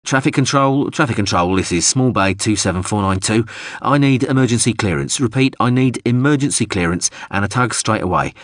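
Man acting a terse, low-voiced starship captain. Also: animated, normal speed, sharp tone, breathy edge, no room sound, clean studio sound, full character voice. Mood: urgent. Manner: terse, clipped orders. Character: curt, commanding male starship captain under pressure.

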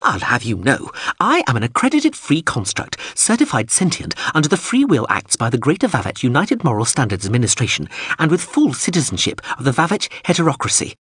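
A pompous sentient robot drone, read by a man in an audiobook, fussy and long-winded in its bureaucratic phrasing. It sits higher than the reader's own voice, with lively, indignant swings. The tone is husky and dry. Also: brisk, few pauses, dry recording, full character voice. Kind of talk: audiobook character voice.

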